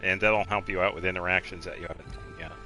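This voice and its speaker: A man's voice: low voice, steady tone, fast, pushed and bright. A man giving casual video game commentary, thinking aloud in a rambling way as he plays. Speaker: streamer playing a video game. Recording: game music faintly behind.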